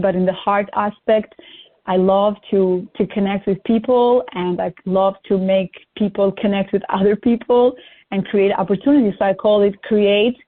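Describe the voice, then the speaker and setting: Woman on a flat tinny line. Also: medium pitch. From Albania. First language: Albanian. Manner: upbeat, lists achievements at length. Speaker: guest. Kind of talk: interview self-introduction.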